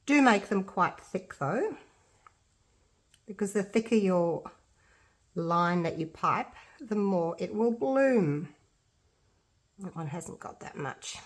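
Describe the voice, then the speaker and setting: A woman's voice: medium pitch, animated, slow pace, long pauses. A middle-aged Australian woman talks through a craft demonstration in an easy, chatty way. Her nose is blocked up, so her voice sounds congested, and she thinks aloud and pokes fun at herself as she goes. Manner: chatty, self-deprecating, thinking aloud while working. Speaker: resin art tutor. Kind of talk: casual craft tutorial.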